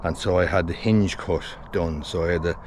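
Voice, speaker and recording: A man's voice: deep voice, fairly even intonation, moderate pace, a little mellow. A man in his sixties from Ireland, filming himself in his garden and telling a rambling story that keeps correcting itself. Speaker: vlogger. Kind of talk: casual selfie vlog. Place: outdoors in a garden by a house. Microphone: phone camera at arm's length. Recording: noisy outdoor phone recording.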